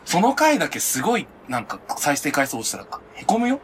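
Animated, campy man chatting on a podcast. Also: fairly high pitch, brisk, a little hiss or hum. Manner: campy, self-described "onee" talk. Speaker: podcast co-host. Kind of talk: casual chat among friends.

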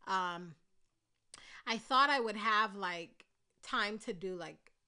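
A woman's voice: mid-range voice, slow, pushed and bright, clean tone. A woman hosting her own podcast, chatting candidly and rambling with many fillers while congested and stuffy. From Caribbean. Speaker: podcast host. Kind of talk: casual solo podcast chat.

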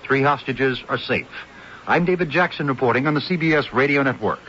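Male newsreader, crisp, clipped, bright, lo-fi radio. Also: mid-range voice, expressive, fairly quick, slight background noise. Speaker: network news reporter. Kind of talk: radio newscast. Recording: dull lo-fi radio recording.

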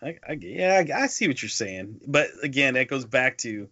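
Male podcast co-host, casual anecdotal storyteller, mid-pitched voice, dry but slightly lo-fi recording. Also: big pitch swings, moderate pace, sharp tone, no echo. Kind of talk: casual movie review chat. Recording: dull lo-fi podcast audio.

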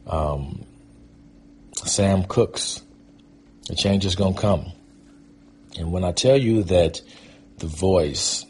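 A man's voice: deep voice, a little mellow, slight background noise. A middle-aged American man telling his own life story in a reflective, conversational, casual way. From Michigan, USA. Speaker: guest storyteller and performing artist. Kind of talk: personal storytelling, casual reminiscing.

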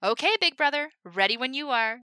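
A woman voicing an exuberant young woman soldier who plays a booming showman for a crowd. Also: animated, brisk, sharp tone, no room sound, clean studio sound. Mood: teasing. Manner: booming, theatrical barker delivery.

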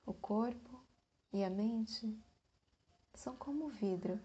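A woman leads a guided meditation in a soft, breathy voice, speaking in short phrases with long pauses. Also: fairly high pitch, lo-fi sound.

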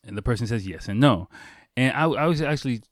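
A man's voice: mid-range voice, animated, quick pace, no room sound, clean studio sound. A man hosting a podcast solo, talking in an informal, conversational way with casual swearing. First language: English. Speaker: podcast host.

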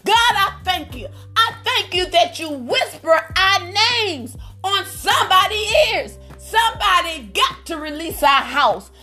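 A woman's voice: very high-pitched, very animated, a little slow, hardly any pauses, bright, forceful tone, faint music behind. A woman preacher leading a phone prayer line in a fervent charismatic style, exclaiming praise and interjecting often. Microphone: phone call on a prayer line.

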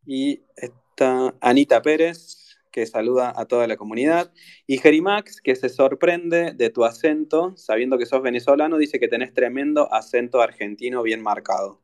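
A man's voice: medium pitch, normal speed, a little mellow. A man hosting a casual online audio chat and reading out listener greetings. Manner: friendly, reads out listener greetings. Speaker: host. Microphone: audio space call.

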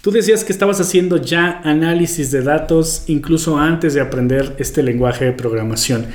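A man's voice: a little high, moderate pace. A man hosting a casual podcast interview in conversational style. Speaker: podcast host. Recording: roomy, echoing voice recording.

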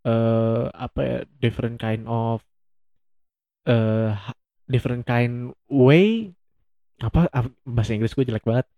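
A man's voice: medium pitch, measured pace, long pauses, dry recording, highs cut off. A young man hosting a solo podcast, chatting casually in a rambling, self-mocking way and laughing often. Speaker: podcast host.

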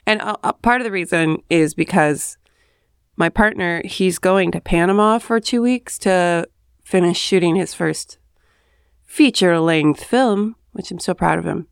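A woman hosting her podcast, chatting in a rambling way with asides, hoarse from having just woken up at home. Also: fairly low pitch, a little slow, no echo, clean studio sound. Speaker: podcast host. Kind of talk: casual solo monologue. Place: home, early morning.

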